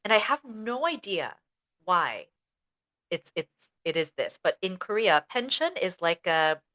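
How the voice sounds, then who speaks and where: A young woman co-hosting a casual teaching podcast, telling stories in an animated way and acting out bits of dialogue. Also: lowish voice, measured pace. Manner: animated storytelling, acts out quoted dialogue. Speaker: podcast co-host, ESL educator. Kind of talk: casual chat.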